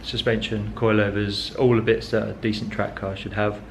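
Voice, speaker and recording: A man's voice: on the low side, little pitch movement, normal speed, a little hiss or hum, poor audio. A young man chatting casually in a car vlog, rambling with many ums and correcting himself as he goes. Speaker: car vlogger.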